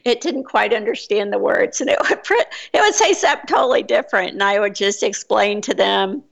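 Woman, Texas accent, casual expat vlogger, gravelly fry, chatty and rambling. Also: medium pitch, brisk. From United States. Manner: chatty, rambling with fillers and restarts. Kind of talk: casual chat.

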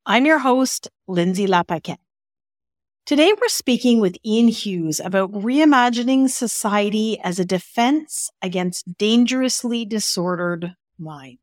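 A woman's voice: medium pitch, animated, unhurried, no room sound, clean studio sound. A woman hosting a podcast, interviewing her guest in a friendly, upbeat way. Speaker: podcast host.

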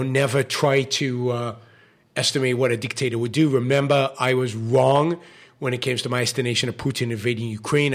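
A man's voice: mid-range voice, a bit bright. A man hosting a live-stream show, giving opinionated commentary with many fillers and restarts, his voice starting to go. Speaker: show host.

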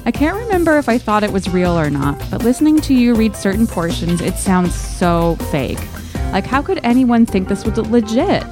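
A woman's voice: a little high, big pitch swings, normal speed, music in the background. A woman co-hosting a podcast in a casual, chatty book club style, talking and reading aloud from listener letters. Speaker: podcast co-host.

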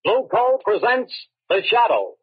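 High-pitched vintage male radio announcer, muffled. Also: very animated, a little slow, breathy edge, dry recording. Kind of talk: 1930s radio advertisement reading.

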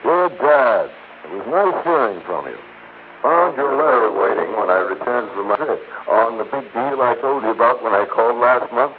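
An actor playing a thoughtful, quietly world-weary investigator in a 1960s radio drama, plainspoken and reflective. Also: male voice, medium pitch, expressive, normal speed, natural voice. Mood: reading aloud. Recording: tinny, noisy 1960s radio recording.

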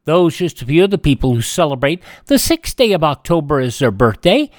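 Man hosting radio with lively pitch swings. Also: brisk, no room sound, clean studio sound. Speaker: radio show host. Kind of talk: radio almanac narration.

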